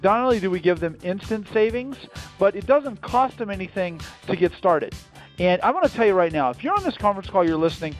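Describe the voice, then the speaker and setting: A man giving an emphatic, salesy pitch as a company founder on a promotional call, repeating phrases for effect. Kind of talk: promotional interview on a conference call.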